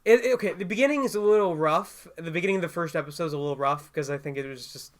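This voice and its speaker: A man with a high-pitched voice co-hosting a casual podcast chat. He rambles through his thoughts, fills the gaps with "like" and "um", and laughs easily. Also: racing. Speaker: podcast co-host.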